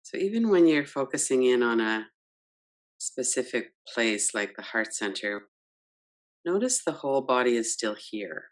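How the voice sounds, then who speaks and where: A woman leading a guided yoga nidra meditation, gentle and unhurried, guiding with soft questions. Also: very deep voice, lively intonation. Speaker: meditation teacher.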